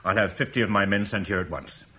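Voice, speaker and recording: A man's voice: on the low side, fast, sharp tone, slight background noise. A man playing a shrewd British war leader in a radio drama, firm and businesslike. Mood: stern bargaining. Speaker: military commander.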